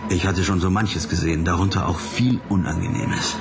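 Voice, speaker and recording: Low-voiced, hard-boiled pop detective. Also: male voice, fairly even intonation, normal speed, over loud music. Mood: dry, wry. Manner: dry, laconic, sardonic. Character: wry, hard-boiled male pop detective narrating his case. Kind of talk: scripted radio drama narration.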